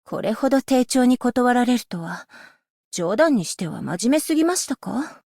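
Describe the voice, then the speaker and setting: A stern, dutiful scholar-magistrate woman with a fairly high voice, formal and measured, polite yet strict. She speaks into a close studio mic, bone dry with no room at all. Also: big pitch swings, vocal fry, a little mellow, dry recording, exaggerated voice. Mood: wry. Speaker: voice actress. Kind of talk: game character voice lines.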